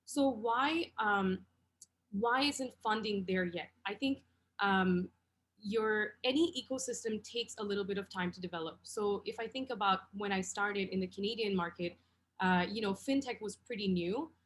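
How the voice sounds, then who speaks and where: A woman in her thirties from Bangladesh, explaining things in a measured way as an investor on a webinar panel over a video call. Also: mid-range voice, fairly bright tone. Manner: measured, explanatory, frequent ums.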